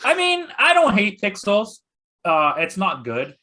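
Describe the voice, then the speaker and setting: A man's voice: high-pitched, huge pitch swings, unhurried, bright, forceful tone. A man hosting a casual podcast chat, giving blunt, opinionated and exaggerated takes on movies. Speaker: podcast host.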